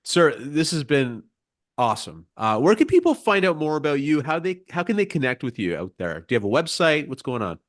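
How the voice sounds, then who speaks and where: A man's voice: on the high side, very animated, fairly quick. A man from Canada hosting an interview podcast in a casual, informal and appreciative way.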